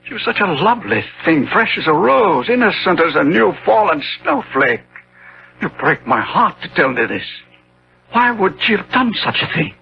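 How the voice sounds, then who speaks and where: Jaded aging gentleman, high-voiced. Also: big pitch swings, normal speed, gravelly, heavily acted. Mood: anguished, indignant. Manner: theatrical, exclamatory, florid. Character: weary, jaded aging gentleman, excitable and indignant. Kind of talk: 1970s radio drama acting. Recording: dull, hissy old radio broadcast.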